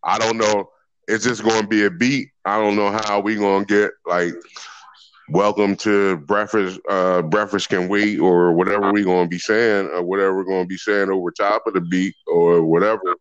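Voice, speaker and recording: Casting: relaxed podcast co-host, lowish voice, slangy drawl, dull lo-fi sound. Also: male voice, smooth, no room sound. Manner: laid-back, slangy, drawn-out speech. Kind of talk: casual banter.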